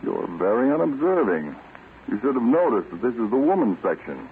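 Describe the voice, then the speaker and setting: An actor playing a stern, self-assured American government agent in a 1940s radio drama, speaking with deliberate authority. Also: male voice, medium pitch, big pitch swings, natural voice. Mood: triumphant, accusing. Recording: muffled, tinny, noisy old radio recording.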